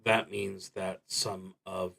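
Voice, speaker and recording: A man thinking aloud as he plays a solo tabletop roleplaying game on a stream, talking in a deliberate, halting way with dry asides. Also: low-pitched, flat delivery, vocal fry, sharp tone, slightly rough. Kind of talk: live play narration, thinking aloud.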